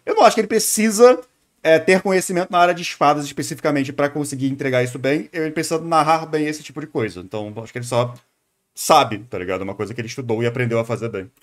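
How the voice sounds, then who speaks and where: Quick, slangy Brazilian man reacting. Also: fairly high pitch. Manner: informal, slangy. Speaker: streamer reacting to videos. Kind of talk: casual off-the-cuff commentary.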